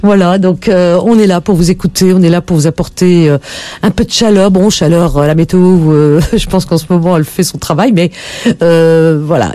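A woman's voice: fairly low pitch, mellow, dry recording. A woman hosting a late-night call-in radio show, gushing and laughing often as she invites listeners to share their stories.

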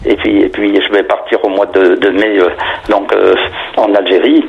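Husky old guy in his 60s calling a radio show, stammers a lot, muffled lo-fi sound. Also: lowish voice, flat delivery, normal speed. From Sicily, Italy. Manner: hesitant, stammering. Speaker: caller. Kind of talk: call-in radio chat.

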